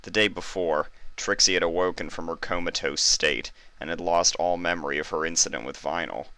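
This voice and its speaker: A man's voice: low voice, brisk, sharp tone, clean tone, dry recording. A man giving a scripted narration of a fan story.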